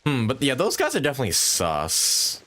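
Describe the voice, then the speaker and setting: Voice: medium pitch, huge pitch swings, a little fast, fairly bright tone. A game streamer giving casual live gameplay commentary in wry, muttered reactions. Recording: game music behind.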